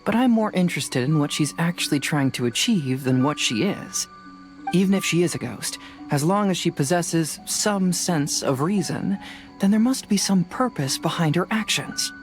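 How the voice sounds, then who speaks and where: A calm young female detective from a video game, played by a woman, speaks over soft music. She is composed and fearless, laying things out in a methodical, matter-of-fact way. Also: on the low side, normal speed, close to an everyday voice. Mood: thoughtful.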